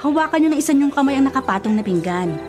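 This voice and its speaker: High-pitched, bossy superstitious older sister. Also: female voice, expressive, rapid-fire. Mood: insistent. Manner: scolding, insistent, defensive. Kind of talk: radio drama acting. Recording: prominent music behind the voice. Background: music.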